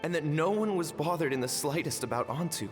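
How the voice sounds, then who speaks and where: Man acting earnest wounded brother, lively higher voice. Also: fairly quick, natural voice. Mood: hurt, accusing. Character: earnest, wounded younger brother, loyal and righteous. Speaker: voice actor in a video game. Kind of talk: dramatic game dialogue. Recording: long reverb, music underneath.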